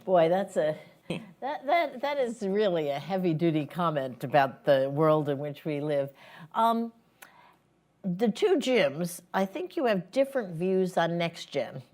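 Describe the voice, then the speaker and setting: A woman with a low-pitched voice moderates a panel. She frames questions for the speakers in a conversational, deliberate manner. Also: very animated, a little mellow. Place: live panel event before an audience.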